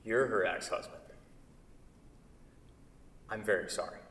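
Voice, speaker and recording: A man's voice: fairly low pitch, unhurried, fairly bright tone. A man in a staged play reading, playing a solitary woodworking artist who is polite, guarded and hesitant. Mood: polite, flustered. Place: theatre stage. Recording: very echoey hall, distant microphone.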